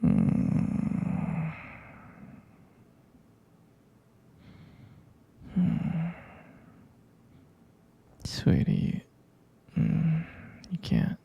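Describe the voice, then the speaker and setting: A man's voice: fairly high pitch, wildly swooping pitch, mellow, muffled recording, full character voice. A man playing a tender, sleepy half-vampire boyfriend in an intimate ASMR roleplay, murmuring and whispering hesitantly with affectionate repetition. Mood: sleepy, soothing. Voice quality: breathy, gravelly whisper and murmur. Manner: slow, hesitant, repeating phrases, affectionate.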